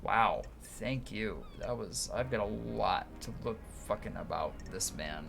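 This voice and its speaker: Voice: deep voice, lively intonation, measured pace, gravelly. A streamer playing a video game, giving casual, sweary commentary in muttered asides and reading game text aloud. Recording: game music behind the voice. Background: video game music.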